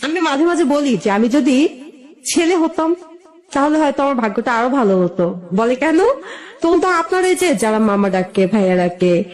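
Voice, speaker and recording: A woman's voice: high voice, expressive, moderate pace, a little mellow. A woman with a Bangladeshi accent telling her own life story as an interview guest, conversational, wistful and admiring.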